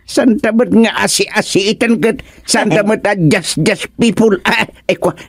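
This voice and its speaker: A man with an Ilocano accent playing a comical, excitable older village husband in a radio comedy-drama, full of exclamations and chuckles. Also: sits high, breathy edge, dry recording, clean studio sound, heavily acted. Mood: boastful, flustered. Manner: exclamatory, chuckling, drawn-out reactions.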